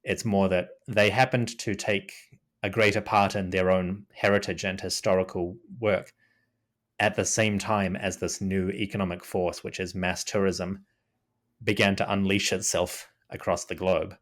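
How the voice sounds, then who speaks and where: Kiwi guy, really low voice, thinking out loud on a podcast call, lots of restarts. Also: little pitch movement, a little slow. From New Zealand. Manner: thoughtful, restarts often, lots of "you know". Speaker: podcast guest, Egyptology podcaster. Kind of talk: casual interview talk. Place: remote call.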